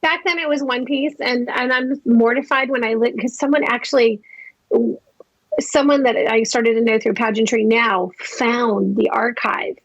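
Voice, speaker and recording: A woman's voice: on the high side, fairly even intonation, moderate pace, no echo, highs cut off. A woman in her fifties, a podcast guest telling her story in a chatty way, full of likes and restarts. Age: 50s. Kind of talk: casual interview chat.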